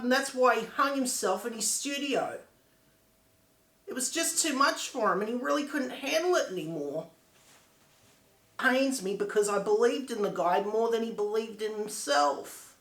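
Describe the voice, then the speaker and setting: A man's voice: extremely high pitch, lively intonation, normal speed, a bit bright, full character voice. A man playing a grieving, disappointed friend in an earnest, reflective dramatic monologue. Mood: sorrowful, disappointed. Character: grieving friend who believed in a failed musician. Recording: echoey room.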